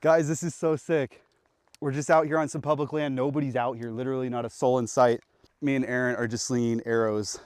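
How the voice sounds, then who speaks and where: A man's voice: mid-range voice, fairly even intonation, fairly quick, darkish tone, clear, resonant tone. A young man hosting an archery vlog outdoors on public land, hyped and slangy, talking to the camera. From Pacific Northwest of the US. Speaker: vlogger. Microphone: action camera.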